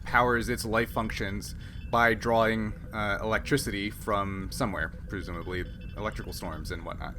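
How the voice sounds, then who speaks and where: A man acting as game master, narrating a tabletop roleplaying game and explaining rules in a halting, think-aloud way. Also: fairly low pitch, steady tone, sharp tone, soft background music.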